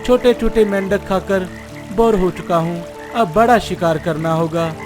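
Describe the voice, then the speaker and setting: Crafty old cartoon snake, high pitched, wheedling and humble, music behind. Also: male voice. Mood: scheming. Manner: slow, deferential, wheedling. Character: sly old male snake feigning humble sadness. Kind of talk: animated Hindi moral story dialogue.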